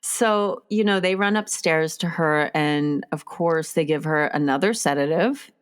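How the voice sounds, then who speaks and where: A woman's voice: deep voice, a little slow, smooth. A woman chatting casually about a film as a co-discussant, emphatic and opinionated, with casual swearing. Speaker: podcast co-discussant.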